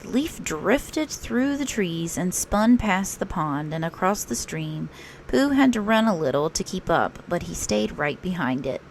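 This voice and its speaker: A woman reading a children's picture book aloud as a storytelling teacher, expressive and unhurried. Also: fairly low pitch, vocal fry, slight background noise, low-quality recording.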